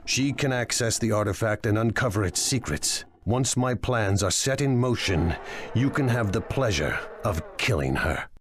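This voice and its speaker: An actor playing a confident, sly crime lord in a video game, sardonic and self-assured. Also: male voice, lowish voice, animated, moderate pace, slight background noise, lightly acted. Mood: sly confidence. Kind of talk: scripted video game acting.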